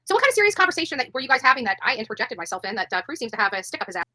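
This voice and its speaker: A woman's voice: fairly high pitch, big pitch swings, racing, pushed and bright, dry recording. A woman in her forties chatting bluntly and brashly on a livestream panel, laughing often. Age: 40s. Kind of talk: casual livestream chat.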